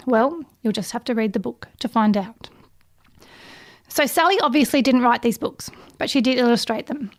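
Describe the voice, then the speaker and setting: A woman giving a prepared book recommendation, explaining things in clear, orderly steps. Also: on the high side. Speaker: book reviewer recommending children's books.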